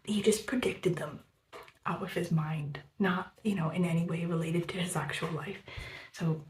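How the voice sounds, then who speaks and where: A woman chatting casually about a book on her vlog. She rambles with many fillers, swears freely and often drops into a breathy half-whisper. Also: on the low side, fairly even intonation, moderate pace, a little mellow. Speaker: book vlogger. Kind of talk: casual book review chat. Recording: roomy room echo, faint background noise.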